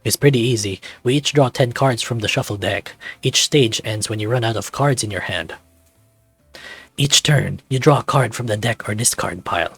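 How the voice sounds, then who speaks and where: A man's voice: medium pitch, moderate pace, gravelly, a bit bright, breathy edge, no room sound, faint music behind. Man voicing a snarky young adult son. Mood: explaining, casual. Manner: sarcastic, needling banter.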